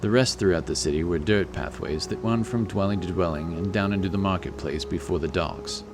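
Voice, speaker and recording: A man's voice: low-pitched, fairly quick, music in the background. A man giving scripted narration for a fantasy audiobook, in the role of storyteller.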